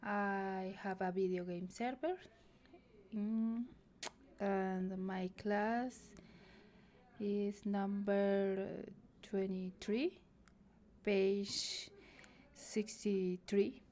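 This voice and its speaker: A woman, introducing herself slowly and hesitantly in English class. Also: mid-range voice, fairly even intonation, a little hiss or hum. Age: 20s. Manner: slow, hesitant, soft-spoken. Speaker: student.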